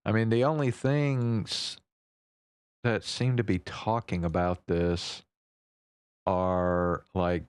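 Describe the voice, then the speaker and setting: A man's voice: deep voice, steady tone, slow, pauses often, smooth, no room sound, clean studio sound. A man talking casually and unscripted as a livestream host, thinking aloud hesitantly and swearing now and then.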